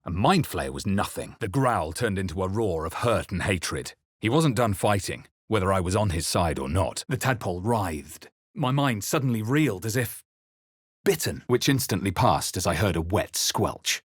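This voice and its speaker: A man voicing a brooding adventurer who narrates his inner thoughts in a video game, solemn, deliberate and dramatic. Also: sits low, very animated, husky, dry recording, clean studio sound, full character voice. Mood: intense. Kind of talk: scripted first-person video game narration.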